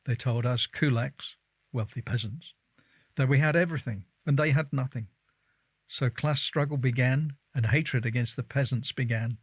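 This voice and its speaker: A man reading the quoted testimony of an elderly Czech migrant recalling his life, in a measured, reflective manner. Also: medium pitch, slightly rough, no room sound, natural voice. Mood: grave, measured.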